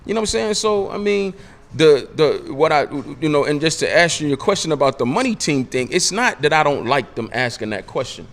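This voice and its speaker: A man's voice: fairly high pitch, very animated, fairly quick, few pauses, fairly bright tone. A man in his late forties, a radio interview guest, talking emphatically in street slang and hammering his points with repetition. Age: 40s. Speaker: podcast guest.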